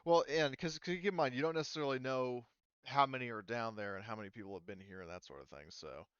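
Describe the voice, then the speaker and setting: Game master, male, smooth clear voice, rambling and casual, muffled recording. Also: mid-range voice, fast talker, a bit bright, no echo. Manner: casual, many fillers like "like" and "um". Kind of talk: explaining rules and lore in a tabletop game.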